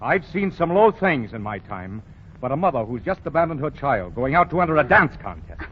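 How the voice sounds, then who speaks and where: Vintage radio comedy, muffled sound: indignant store boss, husky, pompous, emphatic. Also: male voice, medium pitch, very animated, fairly quick. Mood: indignant scolding. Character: indignant, self-righteous male department store boss. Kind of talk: 1940s radio comedy acting. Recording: dull lo-fi 1940 radio recording.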